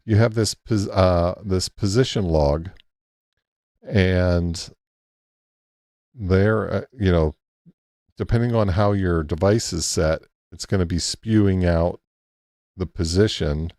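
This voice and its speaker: A man hosting a casual ham radio live stream, thinking aloud in a halting way with many restarts as he walks through a map on screen. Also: low-pitched, a little mellow, no room sound, studio quality. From Texas.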